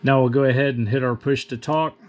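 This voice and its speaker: A man's voice: mid-range voice, steady tone, fairly quick, a little mellow. A man giving a plain, methodical, step-by-step instructional demonstration in a matter-of-fact way. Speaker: host of radio equipment demonstration.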